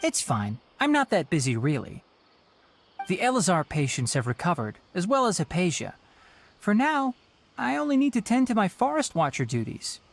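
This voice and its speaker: A man playing a calm, courteous young forest ranger in a video game, speaking in a measured, articulate way. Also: high-pitched, gravelly, heavily acted. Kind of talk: video game dialogue acting. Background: background music.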